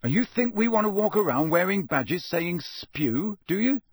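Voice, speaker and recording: Storybook role: cheeky teenage lad played by a man, skeptical and blunt, raised pitch, lively swings. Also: moderate pace, slightly rough, dry recording, clean studio sound, exaggerated voice.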